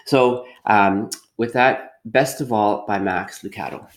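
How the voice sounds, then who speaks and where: A man's voice: fairly low pitch, takes it slowly. A middle-aged man leading an interactive read-aloud for young children as their teacher, encouraging them and repeating his questions. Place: quiet workshop at home.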